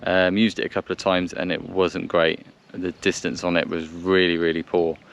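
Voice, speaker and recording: A man's voice: sits low, flat delivery, normal speed, gravelly. A man presenting a casual outdoor vlog, thinking aloud and hesitating as he chats to camera and over a radio. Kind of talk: casual to-camera commentary and radio check chat. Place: outdoors by a vehicle in the countryside. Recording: noisy outdoor vehicle background.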